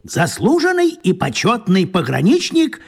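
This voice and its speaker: An actor playing a grumbling, self-important pot-bellied man in a radio play, with a husky voice. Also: sits high, wildly swooping pitch, a little slow, exaggerated voice. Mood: pompous. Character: grumpy pot-bellied man in pajamas, proud veteran.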